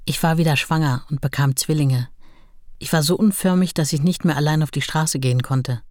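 Casting: actress as a writer's long-suffering wife; understated, plain recollection for radio play, close dry sound. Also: female voice, deep voice, fairly quick, a little mellow, no room sound, lightly acted. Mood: sorrowful, restrained. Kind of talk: documentary-like radio drama recollection.

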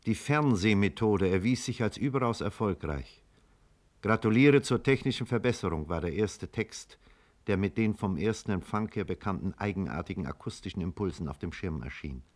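A man playing the narrator of a 1950s German radio drama, with measured, literary delivery. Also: lowish voice, expressive. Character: male narrator recounting events as a scientist.

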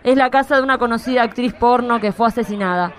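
High-voiced no-nonsense female boss, a woman acting her, scolds firmly. radio play. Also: fast, close to an everyday voice. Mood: stern. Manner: firm, scolding. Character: stern exasperated female police chief. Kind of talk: amateur radio drama acting.

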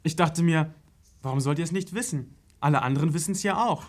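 A young man playing a calm, unfazed teenage son in a radio play, speaking in a laconic, matter-of-fact way. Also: a little high, fairly quick, lightly acted.

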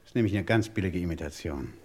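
An actor playing a domineering, short-tempered crime boss in a radio play, mocking and commanding. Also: male voice, sits low, fast talker, a little mellow. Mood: threatening.

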